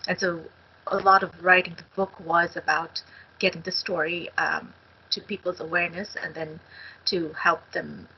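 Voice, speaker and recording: A woman's voice: on the low side, flat delivery, moderate pace, gravelly, slight background noise. Hesitant middle-aged woman on a headset. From India. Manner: hesitant, many ums. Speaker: co-author. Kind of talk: casual talk on a video call. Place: home study with bookshelves. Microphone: headset on a video call. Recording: dull lo-fi video call audio.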